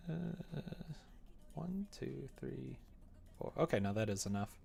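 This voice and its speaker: A man's voice: mid-range voice, lively intonation, slow pace, creaky, darkish tone, a little hiss or hum. A young man livestreaming casually at his computer, thinking aloud in a meandering, muttering way. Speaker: livestreamer developing a visual novel. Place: indoor room at a computer.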